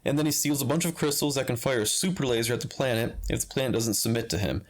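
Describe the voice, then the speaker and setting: A man's voice: mid-range voice, quick pace. A man narrating a scripted comedic video game review in a sardonic, crude joking manner. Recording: loud music behind the voice. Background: loud music.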